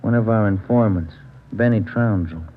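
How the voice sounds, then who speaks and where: An American man playing a plain-spoken police detective partner in a vintage radio crime drama, brisk and businesslike. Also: fairly low pitch, lightly acted. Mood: brisk, concerned. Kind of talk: 1940s-50s radio police drama dialogue. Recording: tinny, noisy old radio recording.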